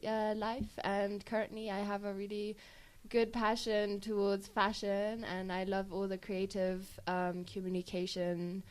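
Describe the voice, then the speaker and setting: Young female student guest from Germany, mid-pitched, casual interview answers. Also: level tone, a little slow, smooth. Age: young adult.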